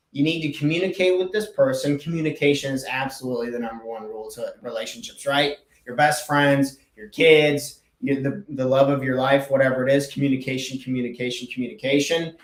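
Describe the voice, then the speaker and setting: An earnest man talks through motivational advice in a casual monologue, leaning hard on repeated words. His voice sits a little high. The room around him is echoey. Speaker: podcast host and life coach.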